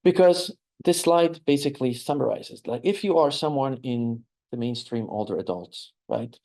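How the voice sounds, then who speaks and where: A man with a Lebanese accent speaks as an architect giving an informal lecture. His voice is mellow and relaxed, at an average male pitch. He explains conversationally, often checking in with a short questioning tag. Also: moderate pace.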